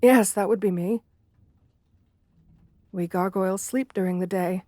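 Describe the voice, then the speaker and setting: Woman voicing shy female gargoyle for ASMR roleplay: bookish, gentle, lonely. Unhurried, hesitant, formal delivery, close dry sound. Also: mid-range voice, dry recording, lightly acted. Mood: flustered, timid. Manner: hesitant, polite, old-fashioned formal diction. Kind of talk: romantic ASMR audio roleplay.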